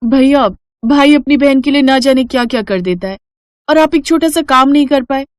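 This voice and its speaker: A woman's voice: sits high, normal speed, no room sound, clean studio sound, voice pushed up, lightly acted. A woman voicing an eager, childishly stubborn young village sister in a cartoon story, with a wheedling, emphatic delivery. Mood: reproachful.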